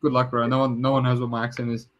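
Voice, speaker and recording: A man's voice: mid-range voice, completely flat delivery, rapid-fire, lo-fi sound. A man with a mixed Serbian-Australian accent hosts a podcast, chatting casually and swearing now and then. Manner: laid-back, swears casually. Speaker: podcast host. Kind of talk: casual chat.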